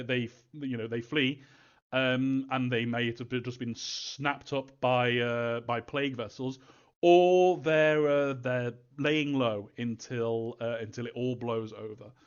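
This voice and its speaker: A man's voice: mid-range voice, clean tone, highs cut off. A man answering fan questions as a game creator in a casual live Q&A, rambling hesitantly and thinking aloud as he goes.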